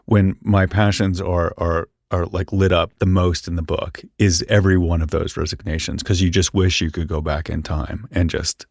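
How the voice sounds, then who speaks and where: Gravelly low-voiced male podcast host. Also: moderate pace, few pauses, no room sound, studio quality. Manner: relaxed, laughs often. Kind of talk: casual book club chat and show credits.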